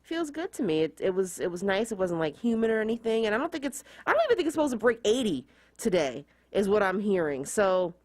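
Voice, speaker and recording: A woman's voice: mid-range voice, big pitch swings, fast, no room sound. A woman co-hosting a morning radio show, chatting in an easygoing, rambling way about her morning. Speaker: radio co-host. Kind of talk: casual morning radio chat.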